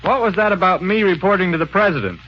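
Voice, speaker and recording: An actor playing an eager, plain-spoken young adventure hero in a breezy, folksy old radio serial style. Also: male voice, high voice, fast talker, close to an everyday voice. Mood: urgent, excited. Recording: muffled, noisy old radio recording.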